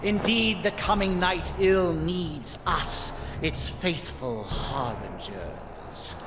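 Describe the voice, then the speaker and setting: A man's voice: fairly high pitch, heavy background noise. A man playing a sinister, mocking cult leader in a fantasy game, speaking in grand archaic phrases. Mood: gloating menace. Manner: archaic, grandiose, slow menacing delivery. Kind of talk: theatrical fantasy game acting. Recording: loud music behind voice.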